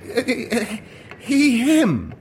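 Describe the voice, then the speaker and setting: A man's voice: extremely high pitch, wildly swooping pitch, extremely slow, a little hiss or hum, voice pushed up, exaggerated voice. A man voicing a flustered bearded stranger who stammers in disbelief in an audio story. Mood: panicked. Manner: stuttering, breathless disbelief. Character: flustered, stammering bearded male visitor from elsewhere.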